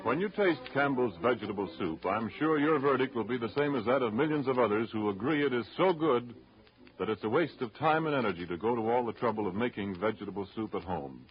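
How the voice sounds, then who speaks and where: A man's voice: mid-range voice, animated, a little fast, faint music behind. A man reading a scripted advertisement as a 1930s radio announcer. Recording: dull 1930s radio recording.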